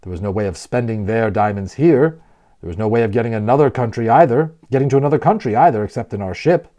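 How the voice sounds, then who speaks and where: A man reading a children's novel aloud as a storyteller, expressively and with deliberate emphasis on key words. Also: mid-range voice, mellow. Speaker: audiobook narrator and storyteller.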